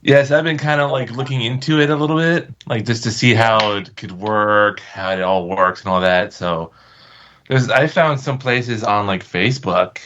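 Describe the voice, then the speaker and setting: A man's voice: fairly low pitch, normal speed, a bit bright. A man in a casual group chat, explaining things in a hesitant, informal way, over dull lo-fi call audio. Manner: hesitant, explanatory, repeats kinda. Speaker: amateur voice actor.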